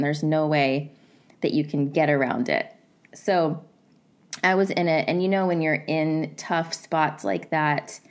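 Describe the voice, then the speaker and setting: A woman in her forties hosting a podcast, telling a personal story in a reflective, conversational way, a little nasal from a head cold. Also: low-pitched. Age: 40s. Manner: reflective, conversational, with fillers like "you know" and "like". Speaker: podcast host.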